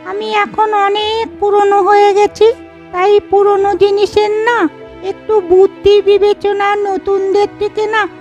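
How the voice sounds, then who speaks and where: A woman voicing a kind, wise old wooden table that speaks gently and reassuringly in a children's cartoon. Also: very high-pitched, level tone, slow pace, highs cut off, soft background music. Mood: consoling. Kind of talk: children's moral cartoon dialogue.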